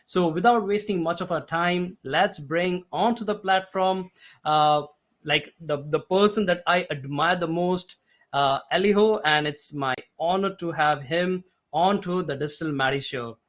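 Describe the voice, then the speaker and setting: A man's voice: fairly high pitch, a little slow, fairly bright tone. A middle-aged man hosting a podcast, introducing his guest in an eager, admiring way with slightly formal phrasing. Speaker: podcast host.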